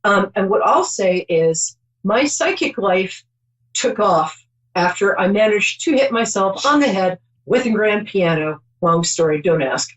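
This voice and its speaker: A woman's voice: medium pitch, lively intonation, a little slow, rough recording. A middle-aged woman hosting a call-in psychic show, chatty and reassuring, rambling with many ums.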